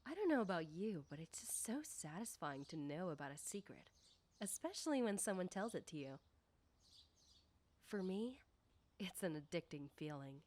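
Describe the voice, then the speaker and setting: A woman playing a nosy, teasing, self-assured popular high school girl in a soft ASMR roleplay, coy and deliberate with whispery dips. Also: big pitch swings. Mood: teasing, curious. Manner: coy, probing, deliberate, often dropping into whispers. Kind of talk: ASMR roleplay, soft personal-attention talk.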